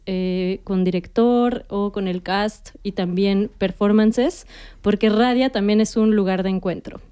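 A woman's voice: medium pitch, mellow, smooth. A woman hosting a community radio show, opening with hesitant greetings and reading a prepared introduction. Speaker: radio host.

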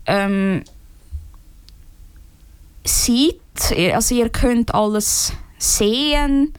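A young woman speaking in a group, slow and halting with many fillers and restarts. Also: fairly high pitch, a little mellow, clear, resonant tone, no room sound. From Switzerland. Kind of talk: unscripted personal talk.

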